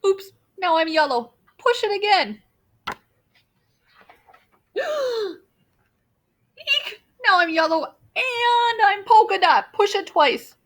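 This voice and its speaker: A woman voicing an excitable, mischievous little male storybook monster, theatrical and exclaiming. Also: extremely high pitch, voice pushed up, heavily acted. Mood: alarmed, excited. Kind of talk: animated children's picture-book read-aloud.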